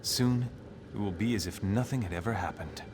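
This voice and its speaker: A man's voice: lowish voice, normal speed, very noisy, close to an everyday voice. A man playing a hopeful nobleman and doting husband in a video game, earnest and theatrical as he clings to good cheer. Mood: hopeful, wistful. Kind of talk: dramatic game dialogue.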